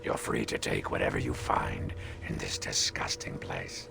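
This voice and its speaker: An actor playing a bitter, vengeful and ancient man in a video game, sneering and snarling with theatrical contempt in a gravelly voice. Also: a bass voice, fairly even intonation, a little slow, noisy background, heavily acted. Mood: gruff, dismissive. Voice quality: gravelly, creaky. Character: bitter, vengeful centuries-old man, contemptuous and foul-mouthed. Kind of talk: video game character acting. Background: game music.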